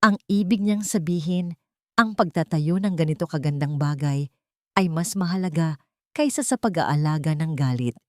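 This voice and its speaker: A woman narrates a dramatic audiobook story in a scripted style. Her voice sits on the low side. The sound is dry and close, free of room echo. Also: animated, normal speed.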